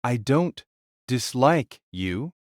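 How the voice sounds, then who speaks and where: Timid young-hearted male mage pharmacist played by a man, halting stammer, slow but swooping delivery, fantasy audio drama. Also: medium pitch, very animated, no room sound, clean studio sound. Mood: hesitant, subdued. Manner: hesitant, halting.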